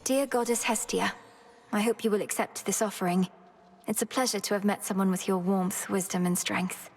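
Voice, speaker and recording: A woman voicing a composed, earnest young witch princess in a video game, with formal, measured and courteous phrasing. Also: mid-range voice, fairly even intonation, a little mellow, lightly acted. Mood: reverent, courteous.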